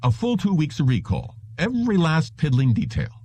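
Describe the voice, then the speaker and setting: A man's voice: on the high side, huge pitch swings, moderate pace, mellow, breathy edge, no room sound, voice pushed up, full character voice. A man voicing a patronizing, formally polite salesman in an audiobook, insistent and reassuring. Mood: assuring, eager. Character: smooth, patronizing salesman of implanted memories, male. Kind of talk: audiobook character voice.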